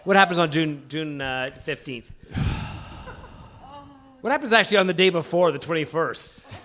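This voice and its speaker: A man's voice: a little high, moderate pace, a bit bright. A man hosting a live stage show, chatting casually and teasing his guests with frequent interjections. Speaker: host of live table read. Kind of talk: casual bantering chat on stage. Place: live stage in small venue.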